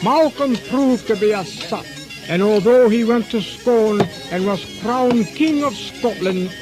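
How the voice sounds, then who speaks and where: A man's voice: sits high, unhurried, music in the background. A man narrating a comic radio drama in a mock-solemn storytelling style.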